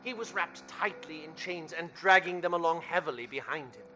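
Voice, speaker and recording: A man's voice: a little high, moderate pace, bright, forceful tone, faint music behind. A man narrating a scripted radio play in a 1930s style, telling the story dramatically.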